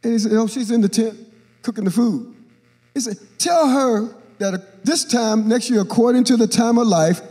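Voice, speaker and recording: An older man, a bishop, preaching a sermon on a church stage into a handheld mic, acting out Bible characters and joking with the congregation. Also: high voice. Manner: animated, acts out Bible characters, jokes with the congregation. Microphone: handheld microphone. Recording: long reverb of a large church hall.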